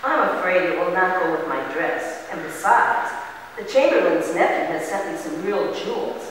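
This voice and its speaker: Man playing high-voiced haughty girl, echoey hall. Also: animated, moderate pace, fairly bright tone. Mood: dismissive. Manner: snooty, dismissive. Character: haughty, materialistic young woman, professor's daughter. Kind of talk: amateur dramatic reading. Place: large church, distant camera mic. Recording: echoey, noisy hall from far off.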